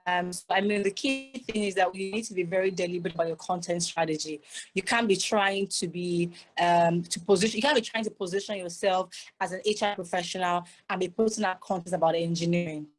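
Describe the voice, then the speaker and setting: A woman's voice: lowish voice, fairly even intonation, fairly quick, faint music behind, poor audio. A woman moderating an online conference, effusive as she sums up speakers and introduces the next one. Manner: effusive, enthusiastic. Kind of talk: conference hosting.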